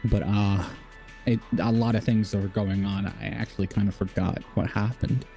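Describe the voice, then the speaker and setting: A man's voice: lowish voice, flat delivery, normal speed, gravelly, dark tone, soft background music. A man live streaming a video game, chatting casually with viewers and thinking aloud in a rambling, repetitive way.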